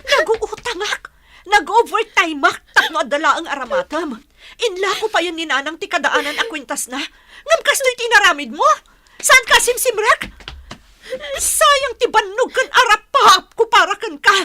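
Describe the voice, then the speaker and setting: High, bright-voiced Filipino elder sister. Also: female voice, animated, normal speed, exaggerated voice. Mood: angry, anguished. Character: hardworking older sister, upset and self-sacrificing. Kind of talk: Ilocano radio drama acting.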